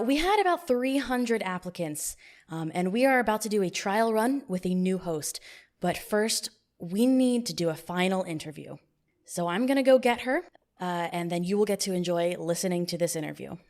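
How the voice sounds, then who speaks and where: A young woman hosting a podcast, running a mock job interview in a deadpan, playfully bossy way. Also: medium pitch, moderate pace. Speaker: podcast host. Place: new studio, not fully set up.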